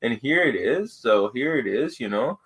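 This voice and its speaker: A man's voice: medium pitch, lively intonation, normal speed, a bit bright, husky. A man with a Canadian accent giving casual, blunt live commentary full of slang and swearing as he hosts a reaction stream.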